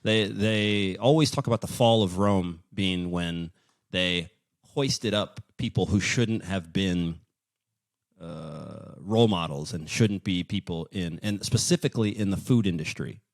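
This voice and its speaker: A man's voice: sits low, unhurried, vocal fry. A man hosting a podcast, chatting casually in a joking, self-deprecating, rambling way. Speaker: podcast host.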